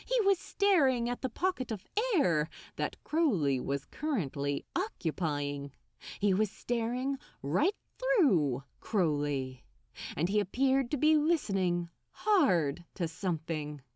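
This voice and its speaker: A woman narrating scripted fiction dramatically, with theatrical, deliberate, suspenseful phrasing. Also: medium pitch, very animated, a little mellow, no room sound, studio quality. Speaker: audiobook narrator.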